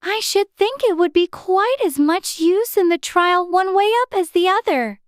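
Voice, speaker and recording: An outspoken little girl from a storybook, speaking in an extremely high voice. She is pert and indignant, quick to contradict, and the recording is close and dry. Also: lively intonation, no room sound. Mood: matter-of-fact.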